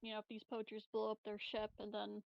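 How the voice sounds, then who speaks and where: A woman's voice: medium pitch, completely flat delivery, a little fast, creaky, no room sound, highs cut off. A woman chatting casually as a player in a tabletop game.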